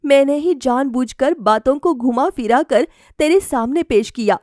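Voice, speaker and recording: A woman's voice: sits high, animated, unhurried, darkish tone, dry recording, clean studio sound. A woman voicing a scheming middle-aged mother in a cartoon moral story, with an emphatic, dramatic way of talking. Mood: confessing.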